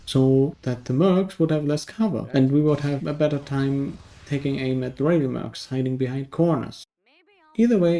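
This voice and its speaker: Mellow, dry male game reviewer. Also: on the high side. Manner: dry, sardonic. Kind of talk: scripted video game review narration.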